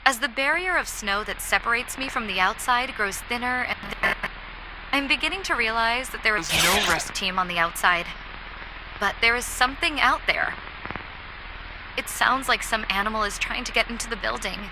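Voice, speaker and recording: A woman's voice: on the high side, expressive, normal speed, noisy background, natural voice. A woman playing a stranded survivor keeping an audio diary, speaking in a wry, reflective way. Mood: uneasy. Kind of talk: analog horror audio diary acting.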